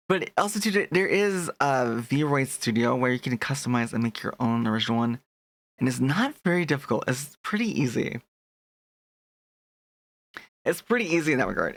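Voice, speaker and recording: A man's voice: mid-range voice, vocal fry, a bit bright, no room sound. A young man chatting casually on a live stream, rambling and restarting his sentences as he greets his chat.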